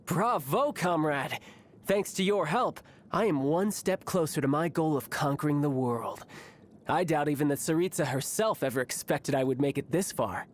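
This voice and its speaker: A man's voice: fairly high pitch, moderate pace, lightly acted. An actor voicing a cocky, playful, battle-hungry young male warrior for a video game, with a breezy, boastful, theatrical delivery. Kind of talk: video game character voice lines. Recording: music behind the voice.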